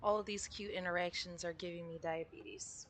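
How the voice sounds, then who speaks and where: A woman's voice: lowish voice, fairly even intonation, normal speed, a bit bright, slight background noise. A woman giving casual, slangy and giggly commentary as she streams a game. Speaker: streamer reacting to a game story quest.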